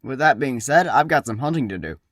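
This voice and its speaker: A man's voice: medium pitch, expressive, fairly quick, no echo, studio quality. A man hosting a humorous history series, narrating from a script with wry, tongue-in-cheek asides. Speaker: host of a comedic history series.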